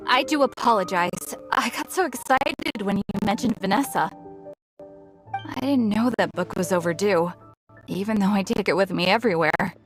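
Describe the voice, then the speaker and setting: An actress playing an effusive, earnest young female merchant in a video game, with music clearly audible behind her. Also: medium pitch, moderate pace, close to an everyday voice, poor audio. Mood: apologetic. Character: eager, gushing young woman merchant and devoted fan. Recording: clear background music under the voice.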